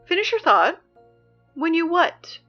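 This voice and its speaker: A woman's voice: very high-pitched, big pitch swings, measured pace, highs cut off, faint music behind, full character voice. An actress playing a teenage girl who reads school announcements over the speakers, dry and exasperated. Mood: needling. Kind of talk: audio drama reading school announcements.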